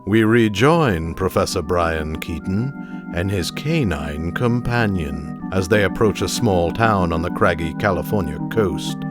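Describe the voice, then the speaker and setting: An actor playing a grandiose 1930s radio serial announcer with a dramatic, hammy delivery. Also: male voice, sits low, expressive, measured pace. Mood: grand, suspenseful. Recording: loud music behind voice. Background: music.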